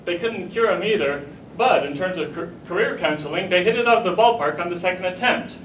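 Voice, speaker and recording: Male humorous speaker, high voice, deliberate comic storytelling at a live contest; distant, echoey recording. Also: fairly bright tone. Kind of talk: humorous tall-tale speech to a live audience. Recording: roomy, distant mic, rumbling noise.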